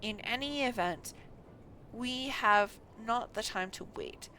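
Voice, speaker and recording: A woman's voice: on the high side, expressive, slow pace, sharp tone, full character voice, rough recording. A woman voicing an earnest, haughty young man from a fantasy game, reading his lines in a formal, emphatic way. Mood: firm, insistent. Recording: faint background noise.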